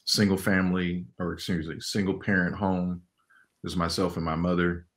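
A man in his forties with a very deep voice, talking about his life as a guest on an interview. He speaks in a loose, relaxed way, full of fillers, and often breaks into laughter. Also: normal speed. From Los Angeles, California. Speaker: animation director, guest. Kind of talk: casual interview talk.

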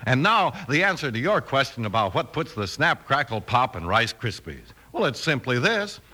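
Old timey 50s radio announcer guy, bright forceful voice, normal pitch, polished salesman delivery. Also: very animated, normal speed.

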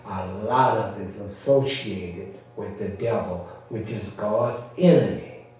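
Slow, low-voiced male preacher, very echoey. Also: a little mellow. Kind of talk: sermon teaching with repetition and calls for amen. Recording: very echoey room, distant mic.

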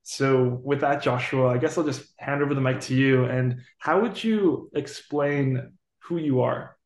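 A man's voice: mid-range voice, unhurried. Casual male podcast host, roomy sound. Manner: friendly, loose, with fillers and restarts.

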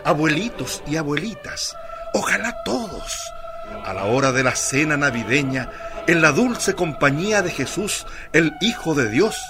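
A man narrating a scripted story in a solemn, declamatory manner. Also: fairly high pitch.

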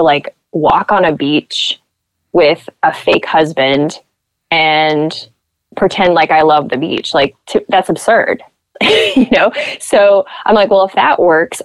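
A young woman from Nebraska with a flat Midwestern accent, chatting as a podcast guest and acting out quoted lines in a lifted voice. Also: fairly low pitch, no room sound, low-quality recording. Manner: chatty, self-deprecating, acts out quotes with a lifted voice. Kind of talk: casual interview storytelling.

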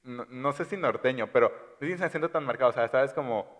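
A man from Chiapas, chatting relaxed on a podcast with lots of agreeing fillers. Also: medium pitch, fast talker. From Chiapas, Mexico. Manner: relaxed, many "ajá" fillers. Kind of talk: casual podcast chat. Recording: long room reverb.

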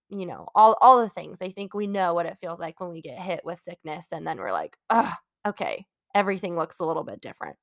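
A woman's voice: medium pitch, a bit bright, no echo, studio quality. A young adult woman hosting a casual solo podcast, sounding congested from a cold and talking in a rambling, candid, encouraging way. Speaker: podcast host.

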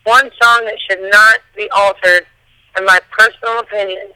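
A woman with a hoarse voice chats loosely as a podcast guest. She mumbles a bit and restarts her thoughts often. The recording is dry and close, with no room around her. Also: medium pitch, steady tone, normal speed, pushed and bright, no room sound, rough recording. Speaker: singer and guest. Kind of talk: casual interview chat.

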